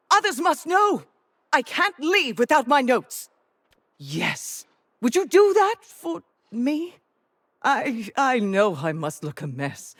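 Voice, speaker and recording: An actress playing a confused, frightened woman constable in a video game, halting, rambling and repeating herself. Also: high-pitched, fairly bright tone, no echo, exaggerated voice. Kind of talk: dramatic video game character acting.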